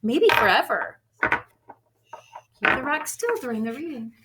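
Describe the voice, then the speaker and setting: A woman reading a picture book aloud to children expressively, with deliberate emphasis on key phrases. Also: high voice, sing-song. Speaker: read-aloud storyteller for children. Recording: faint background noise.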